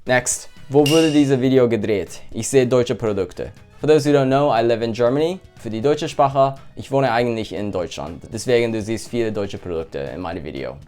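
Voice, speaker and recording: A man with a Japanese accent hosting a cooking channel, calmly reading out viewer questions and answering them. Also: medium pitch, faint music behind. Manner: calm, gentle, unhurried.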